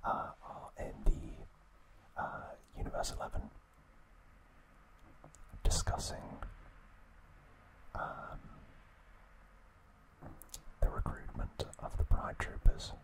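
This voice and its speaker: Slow whispering man, ASMR style. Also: rough recording. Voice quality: breathy, unvoiced whisper. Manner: slow, hushed, halting delivery with gentle pauses. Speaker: ASMR host. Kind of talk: whispered ASMR trivia recap.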